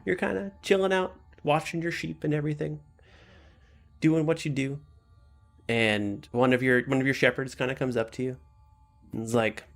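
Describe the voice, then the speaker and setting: Gravelly man running a tabletop game. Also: mid-range voice, fairly even intonation, normal speed, pauses often. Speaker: game master. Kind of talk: improvised tabletop game narration.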